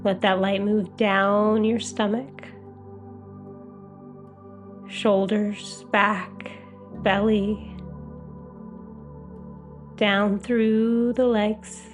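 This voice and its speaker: A woman guiding a meditation as a hypnotherapist, with a soothing, gentle, unhurried delivery. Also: mid-range voice, flat delivery, soft background music. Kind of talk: guided meditation.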